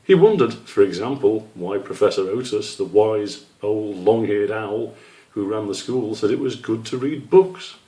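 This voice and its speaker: A man's voice: lowish voice, animated, unhurried, dark tone, rough recording. A man narrating a children's storybook as the author reading his own work.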